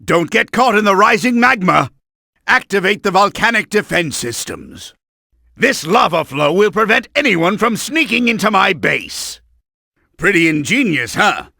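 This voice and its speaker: Theatrical game villain, mad scientist, swooping pitch, gravelly edge, blustering, scheming. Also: male voice, sing-song, a little slow, bright, forceful tone, no room sound, clean studio sound, exaggerated voice. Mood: commanding, smug. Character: bombastic middle-aged male mad-scientist villain, scheming and hot-tempered. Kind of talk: exaggerated video game villain voice acting.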